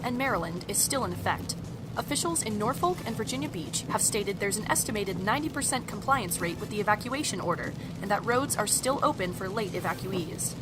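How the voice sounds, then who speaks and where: An actress plays a female radio newscaster, composed and formal. She reads in a measured bulletin style at a middling pitch, over loud music. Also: close to an everyday voice. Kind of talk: news bulletin in an audio drama.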